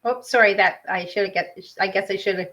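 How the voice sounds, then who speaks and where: A woman's voice: mid-range voice, fairly quick, pushed and bright. A woman hosting a video call, answering with short agreeing interjections and easy laughs while she runs the slides. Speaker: host. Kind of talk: casual chat on a video call, running the slides.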